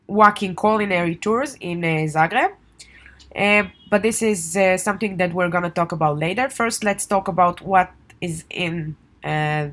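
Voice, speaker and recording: A woman's voice: lowish voice, fairly bright tone. A young adult woman whose first language is Hebrew, talking casually to camera about the travel guide she co-wrote, with lots of "uh"s along the way. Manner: chatty and informal, with many fillers. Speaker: travel guide author and tour operator. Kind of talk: casual promotional vlog.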